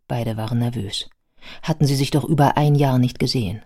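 An actress playing a composed, factual biographer, narrating a radio play in a measured, matter-of-fact way. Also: female voice, very deep voice, a little mellow, husky. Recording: studio radio play with music behind.

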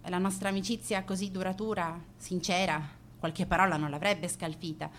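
A woman's voice: on the low side, steady tone, normal speed, slight background noise, natural voice. An actress playing a proud, manipulative teenage schoolgirl in a radio play monologue, sardonic, self-justifying and defiant. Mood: wistful regret.

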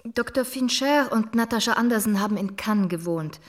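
A woman's voice: a little high, normal speed, natural voice. An actress playing a determined, headstrong young female reporter in a German radio drama, persistent and defiant. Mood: persuasive.

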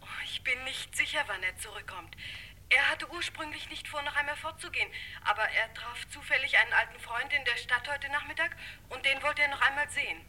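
An actress playing a polite, unsuspecting wife, heard through a telephone in a German radio crime drama. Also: female voice, high voice, fairly quick, sharp tone. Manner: courteous, obliging. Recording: thin, noisy distant telephone line.

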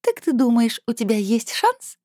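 A woman reader voicing a playful, coaxing female being with a soft, enveloping mezzo voice in a storybook. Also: fairly high pitch, very animated, normal speed, no room sound, clean studio sound, voice pushed up. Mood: teasing. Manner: purring, teasing, coaxing.

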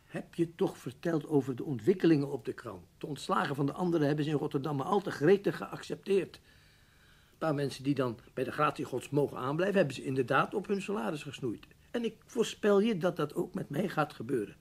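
Anxious, gloomy middle-aged husband, slightly husky. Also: male voice, fairly high pitch, expressive, a little fast. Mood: fretful, gloomy. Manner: fretful, stammering, wordy and formal. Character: anxious, gloomy, hesitant husband, a newspaper writer. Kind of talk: Dutch radio drama acting.

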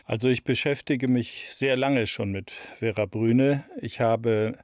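A man in his sixties answers interview questions at a middle pitch. He explains things reflectively and repeats words for emphasis. The recording is close and very dry. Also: steady tone, measured pace, darkish tone, no echo. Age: 60s. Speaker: radio play author being interviewed.

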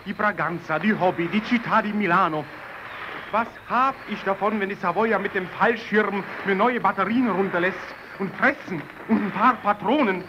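An actor playing a desperate, defiant Italian radio operator stranded on the ice, theatrical and bitter, in an old radio play. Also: male voice, sits high, normal speed, natural voice. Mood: bitter, furious. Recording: muffled, very noisy old radio recording.

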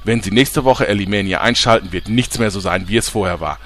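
An actor playing a pushy TV reporter with a dramatic newsreader tone in a comedy audio drama. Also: male voice, on the low side, brisk, fairly bright tone, soft background music, natural voice.

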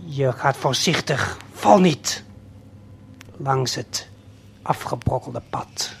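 A man narrating an audio play in the second person, deliberate and suspenseful, speaking straight to the listener. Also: mid-range voice, vocal fry, slight background noise. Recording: late 1970s vinyl LP production. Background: prominent music.

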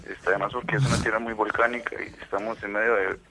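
A man's voice: on the low side, steady tone, fast, music in the background. A man with a Costa Rican accent, chatting casually as a guest, easygoing and a bit hesitant. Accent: Costa Rican Spanish. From Costa Rica. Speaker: guest on a phone call. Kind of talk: casual chat.

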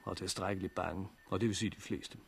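An actor playing a practical, protective survivor of a catastrophe in a 1960s Danish radio drama, speaking in a measured, reassuring way. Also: male voice, deep voice, steady tone, gravelly, a little hiss or hum. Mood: matter-of-fact. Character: pragmatic, protective male survivor of a global catastrophe.

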